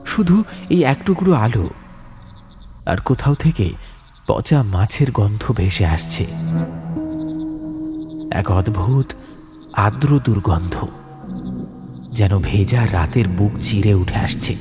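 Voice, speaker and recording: Expressive male horror storyteller, lively pitch. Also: slow pace, pauses often, over loud music. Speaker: audiobook narrator. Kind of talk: dramatic horror story narration.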